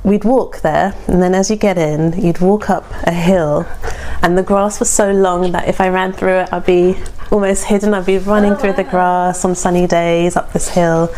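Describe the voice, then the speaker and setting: A woman's voice: lowish voice, fairly even intonation, normal speed, few pauses, darkish tone, poor audio. A woman with a London accent chatting casually as a podcast co-host, with noise around her. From London, England. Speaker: podcast co-host being interviewed. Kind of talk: casual chat.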